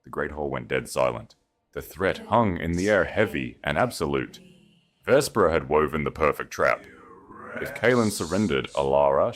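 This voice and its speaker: Very deep-voiced man narrating a dramatic story. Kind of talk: scripted dramatic romance audiobook narration.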